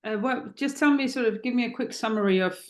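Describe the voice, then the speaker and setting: A woman's voice: on the high side, fast talker, reverberant. A woman hosting a podcast interview, asking questions with some hesitation and reading a closing outro.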